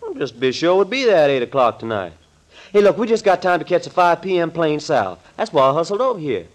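An actor playing an eager, folksy, wisecracking detective's sidekick in a 1940s radio thriller, slangy and chummy. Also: male voice, on the high side, sing-song, fast. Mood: eager, hurried.

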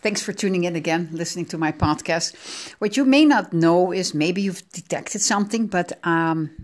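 A woman's voice: fairly low pitch, normal speed. A middle-aged woman from the Netherlands with a Dutch accent, hosting her own podcast in a chatty, rambling way, sore from aching ribs. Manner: chatty, rambling, self-correcting. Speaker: podcast host. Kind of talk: casual solo podcast monologue.

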